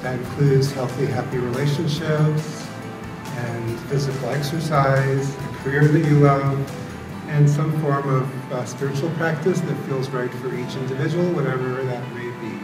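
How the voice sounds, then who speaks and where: A middle-aged man giving a relaxed motivational talk to students in a conference room, with fillers. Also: mid-range voice, moderate pace, over music. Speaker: founder speaking to students. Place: large hotel conference room. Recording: echoey room from far off.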